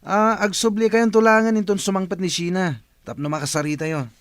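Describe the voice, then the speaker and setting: A man's voice: high-pitched, steady tone, fairly quick, no room sound, clean studio sound, close to an everyday voice. A man playing a sly village cousin in a radio drama, with an Ilocano accent. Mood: sly, casual. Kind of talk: Filipino radio drama acting.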